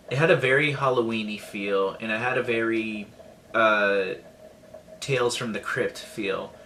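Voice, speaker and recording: A man giving a casual spoken film review in a deliberate, halting way. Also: on the low side, sharp tone. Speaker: film festival audience member. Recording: faint background noise.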